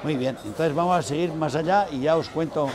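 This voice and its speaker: A man's voice: fairly high pitch, brisk, crowd noise. An older man from Spain, the owner, proudly showing visitors around his busy restaurant on a lapel mic. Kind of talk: guided tour talk. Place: busy indoor restaurant dining room. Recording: background music under the voice.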